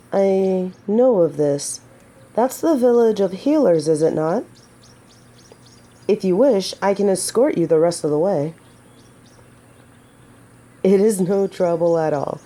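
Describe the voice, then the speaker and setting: A woman's voice: lowish voice, mellow. A woman playing a noble, humble lady knight in an audio drama, courteous and protective, with formal, old-fashioned courtly phrasing. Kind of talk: scripted roleplay audio drama to the listener.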